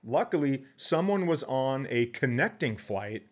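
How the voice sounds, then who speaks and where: A man's voice: medium pitch, expressive, measured pace. A man telling stories in a casual comedic way as a podcast host, sarcastic and swearing freely.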